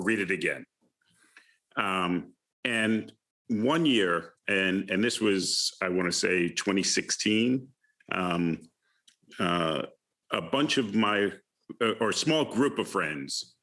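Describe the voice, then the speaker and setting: An American man in his fifties from the Bronx gives reflective interview answers, often halting as he searches for his words. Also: low-pitched, sharp tone. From the Bronx, New York City, United States. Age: 50s. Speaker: alumni guest, retired finance professional.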